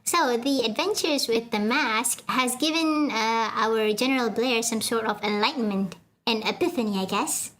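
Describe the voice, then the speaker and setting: A woman's voice: fairly high pitch, normal speed, fairly bright tone. A woman streamer reading a comic aloud in chatty, giggly commentary to her chat. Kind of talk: casual readalong commentary.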